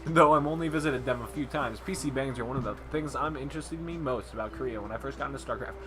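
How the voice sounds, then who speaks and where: A man's voice: mid-range voice, quick pace, over a noisy crowd. A young man hosting a gaming channel, reading game text aloud and breaking off into jokey casual chat.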